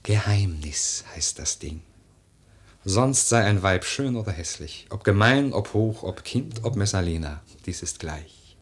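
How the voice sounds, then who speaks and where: A deep, husky young nobleman in mourning. He declaims lyrical radio-play verse slowly, with brooding intensity. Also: expressive. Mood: intense, confiding. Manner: declamatory, lyrical, slow and deliberate. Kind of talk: theatrical German verse radio drama.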